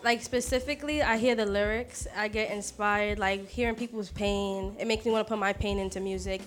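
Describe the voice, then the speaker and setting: A seventeen-year-old girl, a singer in a music group, answering interview questions softly and with hesitation. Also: medium pitch, steady tone, brisk. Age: teens.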